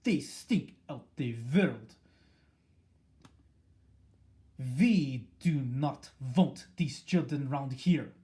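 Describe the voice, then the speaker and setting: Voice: medium pitch, lively intonation, warm tone. A reader voicing a furious, tyrannical witch leader who screams and rants at her followers in an audiobook. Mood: commanding. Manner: shrieking, ranting, drawn-out words. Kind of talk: audiobook reading.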